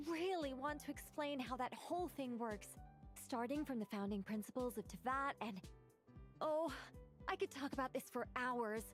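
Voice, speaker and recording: A woman voicing an eager, scholarly woman in a video game, excitable and scolding herself to stay calm. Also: sits high.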